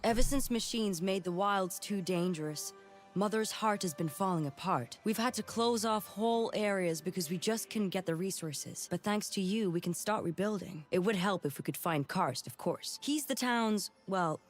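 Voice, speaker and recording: Earnest middle-aged village leader played by an actress. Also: female voice, medium pitch, normal speed, soft background music, natural voice. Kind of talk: animated game dialogue.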